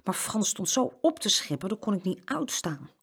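A woman's voice: mid-range voice, big pitch swings, normal speed, fairly bright tone, slightly rough, voice pushed up, full character voice. A woman voicing a small, stubborn young boy with a headstrong, plucky manner in a children's storybook. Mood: glum, regretful. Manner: tough-talking, headstrong. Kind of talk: children's storybook character reading.

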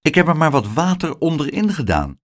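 A man voicing a kindly grown man in a children's storybook, brisk, encouraging and reassuring. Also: a little high, big pitch swings, pitched above the performer's own voice. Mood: urging.

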